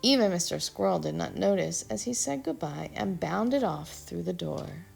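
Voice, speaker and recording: A woman's voice: lowish voice, lively intonation, normal speed, creaky, a little mellow, soft background music. A woman from Texas, reading a children's story aloud expressively. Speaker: storybook reader. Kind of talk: children's read-aloud narration.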